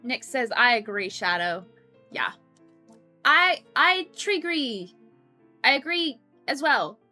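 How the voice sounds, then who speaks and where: Bright-voiced chatty young woman streamer. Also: high-pitched. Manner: chatty, laughs often, many ums and restarts. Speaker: streamer hosting a coworking stream. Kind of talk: casual chat reading viewer comments. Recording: faint background music. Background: faint music.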